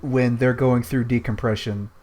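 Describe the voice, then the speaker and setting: A man's voice: mid-range voice, fairly even intonation, moderate pace, darkish tone. A man in a casual podcast chat, talking in a wry, informal way. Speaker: podcast co-host. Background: music behind the voice.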